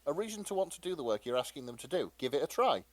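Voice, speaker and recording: Animated man chatting on a podcast. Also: fairly high pitch, very fast, no room sound. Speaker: podcast co-host. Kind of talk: casual banter, presenting fan fiction.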